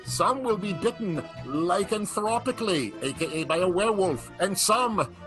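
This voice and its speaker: Theatrical male comic announcer: playful, dramatic, very animated pitch, loud guitar music underneath. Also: moderate pace. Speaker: announcer and narrator. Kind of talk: theatrical comic scripted-style radio narration. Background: plucked guitar music.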